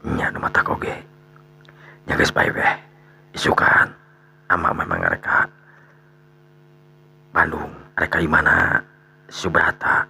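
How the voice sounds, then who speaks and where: A man voicing a resigned, secretive older father in a radio drama, muttering and sighing in a gravelly, breathy voice. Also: a bass voice, big pitch swings, slow, long pauses, bright, forceful tone, full character voice. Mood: grumbling. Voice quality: gravelly, breathy, dropping to near whisper. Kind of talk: radio storytelling drama dialogue.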